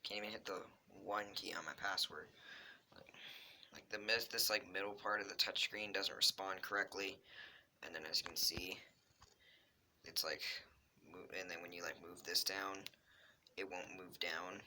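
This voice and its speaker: A man's voice: low voice, steady tone, moderate pace, sharp tone. A man talking casually and explaining things in an informal vlog, recorded on a cheap phone. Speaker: video uploader. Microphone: handheld Android phone. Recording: cheap phone mic, faint noise.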